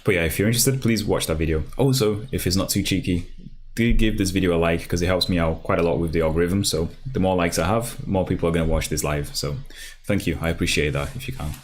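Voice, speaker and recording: A man from Brazil, Portuguese his first tongue, hosts a relaxed programming livestream and talks through the setup. His voice sits very low, and he keeps trailing off and restarting his sentences. Keyboard typing and a faint hiss can be heard behind him. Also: fast. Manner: casual, rambling with many fillers and restarts. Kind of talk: live coding tutorial, thinking aloud.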